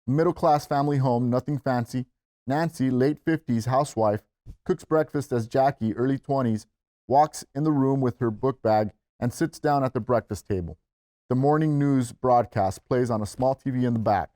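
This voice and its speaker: A man serves as the narrator at a script table read. He reads scene headings and action lines at an average male pitch. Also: moderate pace, mellow. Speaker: stage-direction reader.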